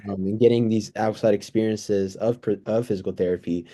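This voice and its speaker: A man in his twenties giving earnest, thoughtful, somewhat halting answers in a casual interview. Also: fairly low pitch, steady tone, mellow. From United States, born in El Salvador. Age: 20s. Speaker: interview guest, pre-physical therapy student.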